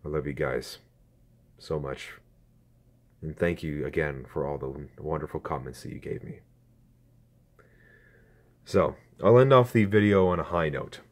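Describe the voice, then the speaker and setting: A man in his twenties talks to his viewers through a phone camera, earnest and halting, with a strained throat. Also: a bass voice. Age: 20s. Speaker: YouTuber. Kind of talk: casual talk to camera.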